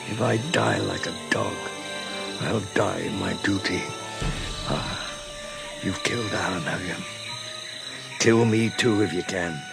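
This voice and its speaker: A man's voice: fairly low pitch, gravelly. A man playing a defiant sailor in a dramatised pirate adventure. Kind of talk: dramatised audio adventure acting.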